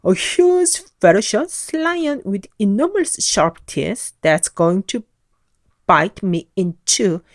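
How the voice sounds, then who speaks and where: A woman's voice: mid-range voice, animated, takes it slowly, no room sound, studio quality. A woman voicing a tiny, jittery little man who panics and shrieks. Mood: terrified. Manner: shrieking, stammering, melodramatic.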